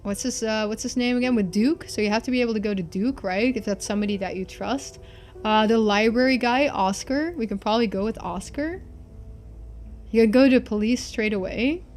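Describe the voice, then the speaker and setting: High-voiced woman, casual game streamer. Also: brisk, a little mellow, soft background music. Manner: informal, playful swearing, thinking aloud. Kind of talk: casual game commentary and read-aloud narration.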